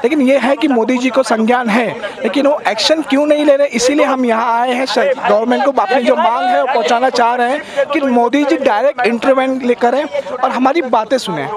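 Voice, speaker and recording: High-voiced man speaking at a protest. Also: fairly quick. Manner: earnest, argues point by point. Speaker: protest spokesman. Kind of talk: interview answer at a protest. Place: outdoor protest in the city. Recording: crowd noise around.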